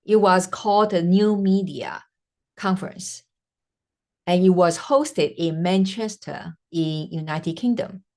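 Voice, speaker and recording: A woman from Taiwan, a native Mandarin speaker with a Taiwanese Mandarin accent, hosting her podcast and telling her own story earnestly, with frequent "uh" pauses. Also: lowish voice, darkish tone. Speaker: podcast host. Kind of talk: personal storytelling monologue.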